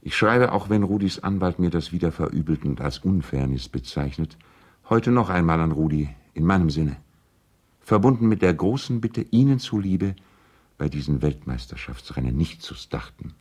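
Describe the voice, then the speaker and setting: A gentlemanly lawyer and concerned old friend in a vintage radio play. He has a low, deep voice and delivers his letters in an earnest, personal, pleading way. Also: lively intonation, moderate pace. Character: courteous, worried male lawyer and loyal family friend. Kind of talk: 1970s German radio play, letters read aloud.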